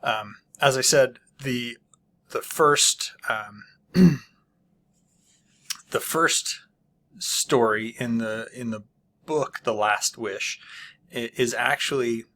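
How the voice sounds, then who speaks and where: A man hosting his own channel, casually reviewing a book with many pauses, ums and frequent throat clearing. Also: mid-range voice, fairly bright tone. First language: not Polish.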